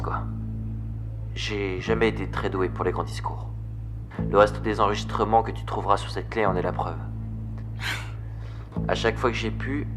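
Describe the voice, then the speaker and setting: Man acting regretful middle-aged father, deep voice, halting, tender, solemn, guitar music under him. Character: remorseful absent father leaving a tender recorded message. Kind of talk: audio drama acting. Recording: plucked guitar music behind.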